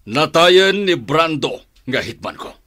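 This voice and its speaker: A man playing a ruthless older crime boss and domineering father in a radio drama, with a rough, commanding delivery. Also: fairly high pitch, animated, unhurried. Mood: menacing, commanding. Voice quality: gravelly, rough. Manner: forceful, commanding.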